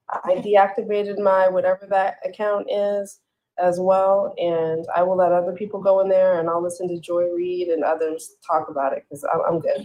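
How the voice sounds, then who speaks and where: A woman's voice: fairly low pitch, dull audio. A woman on a casual news chat talking in an earnest, questioning way.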